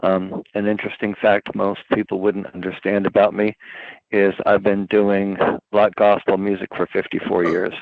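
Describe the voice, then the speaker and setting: Older man, gravelly, on a tinny line. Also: deep voice, monotone, no room sound. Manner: halting, many fillers and restarts. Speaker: committee member. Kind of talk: self-introduction at a meeting. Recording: tinny phone-line audio.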